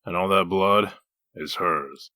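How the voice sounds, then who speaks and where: Man acting a low, hard-edged, gruff detective. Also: slow pace, sharp tone, no room sound, clean studio sound, full character voice. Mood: blunt. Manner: blunt, sardonic, confrontational. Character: gruff foul-mouthed wolf detective in human form, blunt and accusing. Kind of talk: comic dub voice acting.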